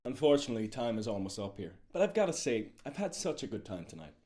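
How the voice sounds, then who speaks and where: A man's voice: lowish voice, big pitch swings, quick pace, darkish tone. A young man playing a breezy television host in a comedy showcase sketch. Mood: upbeat. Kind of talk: comedy show hosting sketch.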